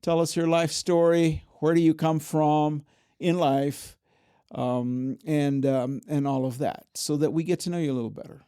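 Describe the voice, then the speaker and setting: A male podcast host asks his guest questions in a friendly way, with many fillers. His voice is mellow and mid-pitched. The sound is dry and close. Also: fairly even intonation, normal speed, clean tone, no room sound. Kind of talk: podcast interview. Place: studio.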